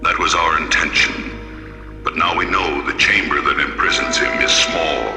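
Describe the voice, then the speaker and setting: Ancient alien boss on a radio drama, super deep n creaky, ominous, commanding, music behind him. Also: male voice, pushed and bright, exaggerated voice. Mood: ominous, measured. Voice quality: very deep, gravelly. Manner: slow, measured, ominous. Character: ancient, commanding male alien bargaining for a prisoner. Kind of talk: old-time radio science fiction acting. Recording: cavernous echo and reverb, music behind.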